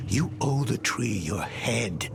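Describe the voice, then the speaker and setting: An actor playing a weary, earnest warrior captain in a game cutscene. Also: male voice, fairly low pitch, expressive, takes it slowly, vocal fry, background noise, full character voice. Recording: game score behind.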